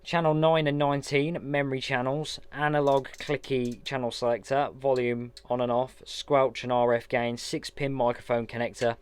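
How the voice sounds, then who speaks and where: A man's voice: medium pitch, little pitch movement, normal speed, few pauses, smooth. A man casually unboxing a radio, chatty and hands-on, narrating each step as he goes. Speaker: vlogger unboxing radio gear. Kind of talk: casual product unboxing walkthrough.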